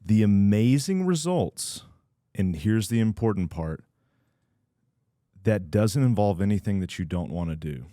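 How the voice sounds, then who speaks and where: A man's voice: fairly low pitch, animated, unhurried, warm tone, no room sound, studio quality. A man hosting a podcast, teasing his co-host and then laying out his ideas in long stretches of casual talk. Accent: American.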